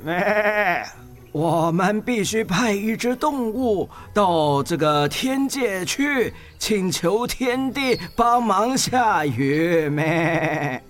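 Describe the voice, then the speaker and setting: Elderly goat elder, bleating, authoritative, very slow, storybook character, animated pitch. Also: female voice, faint music behind. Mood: solemn, decisive.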